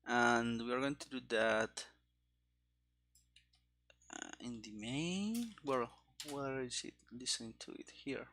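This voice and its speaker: A man doing live coding commentary as a game developer, halting and muttering to himself in short fragments. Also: medium pitch, pushed and bright, slight background noise.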